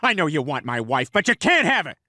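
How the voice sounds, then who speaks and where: A man voicing a loud, blustering, dim-witted middle-aged family man in an animated comedy. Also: on the high side, fairly quick, no echo, clean studio sound. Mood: indignant. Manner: blustering, blunt.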